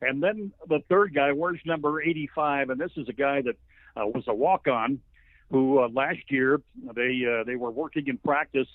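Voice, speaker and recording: A man's voice: mid-range voice, normal speed, no room sound. An American man, a play-by-play announcer, talking football as an interview guest in a folksy, talkative storytelling way. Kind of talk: conversational sports commentary interview. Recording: thin phone-like line.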